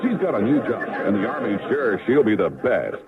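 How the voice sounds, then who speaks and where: A man's voice: fairly low pitch, sing-song, moderate pace. A man announcing an upbeat scripted television promo on the radio. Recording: tinny radio, loud crowd behind.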